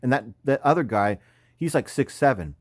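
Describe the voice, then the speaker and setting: A man's voice: mid-range voice, expressive, moderate pace, dry recording, clean studio sound. An American man in his fifties, an army veteran, talking in a casual, rambling, slangy way as he comments on photos. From California, United States. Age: 50s. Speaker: army veteran, former paratrooper and combat medic.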